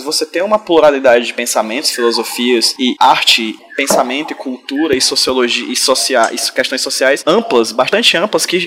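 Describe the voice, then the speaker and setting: A man talks at a rapid clip in a relaxed group podcast chat. He speaks with passion, restarts his phrases and keeps checking in with his listeners. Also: a little high. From Northeast Brazil, Ceará. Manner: fast, passionate, full of restarts and tag questions. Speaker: podcast guest, comics creator. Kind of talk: casual group conversation.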